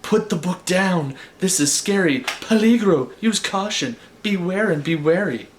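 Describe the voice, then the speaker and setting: A man's voice: high-pitched, lively intonation, measured pace, slight background noise. A man hosting a storybook read-aloud in a wry, theatrical way, with casual asides. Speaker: host reading stories aloud.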